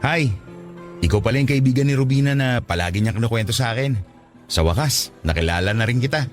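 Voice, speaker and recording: A man's voice: medium pitch, animated, quick pace, faint music behind, natural voice. An actor playing a friendly, easygoing young male co-worker with a Filipino accent in a radio drama. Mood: friendly, joking.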